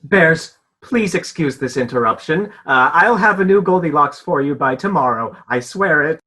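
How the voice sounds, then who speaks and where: A woman's voice: low-pitched, expressive, moderate pace, a bit bright. A woman playing a flustered, officious fairy tale narrator in a comedic radio play, exasperated and pompous. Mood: indignant. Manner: exasperated, hesitant when pleading, pompous when commanding.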